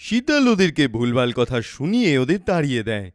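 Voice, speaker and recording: A man's voice: medium pitch, sing-song, a little fast, dry recording, studio quality. A man narrating a scripted children's cartoon story.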